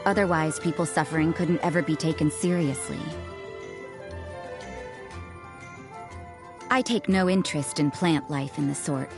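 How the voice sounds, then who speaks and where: A woman voicing an aloof, cynical young nun in a video game, with a cool, languid, deadpan delivery. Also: sits low. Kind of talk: scripted game voice lines. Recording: music behind the voice.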